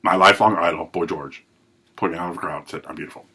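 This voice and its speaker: A man hosting a recovery podcast, telling his life story in a rambling, self-correcting way with a big, loud voice. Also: low-pitched, fairly even intonation, fast talker, noisy background. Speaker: podcast host. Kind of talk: personal recovery monologue.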